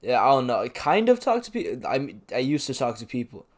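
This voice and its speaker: A man's voice: mid-range voice, sing-song, brisk. A young man from Canada chatting casually over gameplay, rambling with lots of fillers and self-deprecating asides. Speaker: YouTuber. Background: background music.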